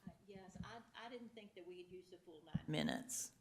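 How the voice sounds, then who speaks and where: A woman's voice: fairly low pitch, wildly swooping pitch, a little fast, darkish tone. A woman county official making a polite, formal motion.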